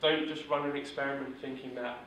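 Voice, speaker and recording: A man leads a workshop in a loose, conversational teaching style with plenty of ums and restarts. His voice stays flat and even in pitch. It sounds caught by a distant mic in a very echoey room with a faint hiss underneath. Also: brisk. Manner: steady, monotone delivery with filler words. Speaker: workshop instructor. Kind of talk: informal teaching talk.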